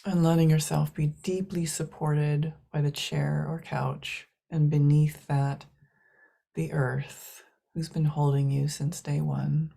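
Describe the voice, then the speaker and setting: Female meditation guide: low, mellow, hushed delivery in short, spaced-out phrases. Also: level tone, creaky. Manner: soft, hushed, long pauses between short phrases.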